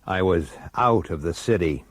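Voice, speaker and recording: Gruff low-voiced noir detective. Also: male voice, animated, normal speed, no room sound, studio quality. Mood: uneasy, bitter. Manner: gruff, irritable, sardonic. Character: hard-bitten, resentful plainclothes police detective, narrator. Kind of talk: radio drama acting with first-person noir narration.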